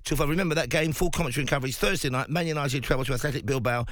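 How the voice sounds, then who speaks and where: A man hosting a live radio talk show, brisk and jokey with his guests. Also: medium pitch, fairly bright tone, dry recording, clean studio sound. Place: radio studio.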